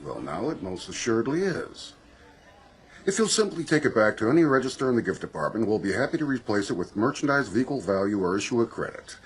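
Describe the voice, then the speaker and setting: An actor playing a brisk, condescending male store boss in a radio drama, curt and smoothly formal. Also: medium pitch, big pitch swings, lightly acted, rough recording. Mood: patronizing.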